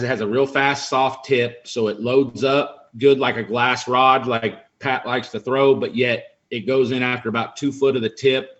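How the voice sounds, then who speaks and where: A man's voice: mid-range voice, a bit bright, lo-fi sound. A man in his forties, a pro angler guest, chatting casually on a video call, rambling with lots of fillers. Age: 40s. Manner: rambling, friendly, full of fillers. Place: room in a house. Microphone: video call webcam.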